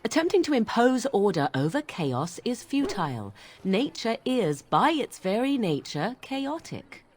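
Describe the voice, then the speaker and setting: A woman's voice: fairly high pitch, sing-song, a little slow. An actress playing a cynical young witch of the wilds, formal, disdainful and drily sarcastic, in a fantasy game. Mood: scornful. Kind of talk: dramatic game dialogue.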